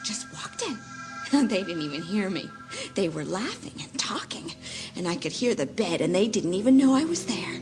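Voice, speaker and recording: A woman's voice: medium pitch, sing-song, normal speed, over music. An actress playing an eerie, childlike, lovesick teenage girl who has turned murderous, rambling and pleading in an audiobook horror drama. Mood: eerie confessing.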